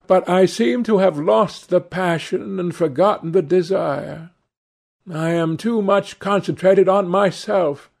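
A man voicing a troubled, melancholy young gentleman in an audiobook, plaintive, earnest and polite. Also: on the high side, vocal fry, voice pushed up. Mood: pained, weary.

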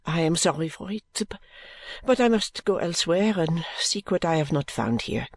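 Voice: medium pitch, animated, normal speed, dry recording. A narrator voicing a fervent, courtly Frenchwoman with a French accent, earnest and pressing in an audiobook story. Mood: mild resignation. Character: fervent, intense Frenchwoman seeking work as a lady's maid.

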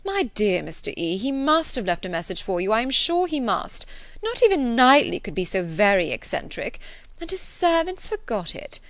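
A woman's voice: on the high side, sing-song, normal speed, dry recording, voice pushed up. A woman voicing a chattering, self-satisfied young wife who talks in an affected, gushing way. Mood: scolding.

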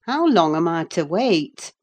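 A woman's voice: lowish voice, sing-song, measured pace, a little mellow. A woman playing a stern middle-aged housekeeper who scolds a runaway girl. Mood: impatient. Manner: scolding, firm.

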